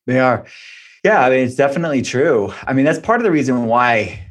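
A man's voice: medium pitch, lively intonation, a little fast. A man speaking as an expert guest in an interview, explaining health advice informally and conversationally. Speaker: expert guest, functional medicine practitioner and author.

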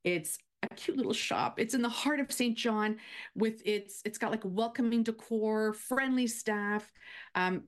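A woman's voice: on the high side, a little slow. A woman chatting casually as a guest cooking expert, explaining practical baking advice step by step.

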